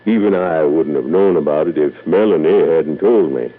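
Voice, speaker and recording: A kindly old family doctor on an old radio drama. The voice sits very low, with a folksy, courteous, unhurried manner. The sound is narrow and muffled like a vintage broadcast. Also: male voice. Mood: sombre, kindly. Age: older.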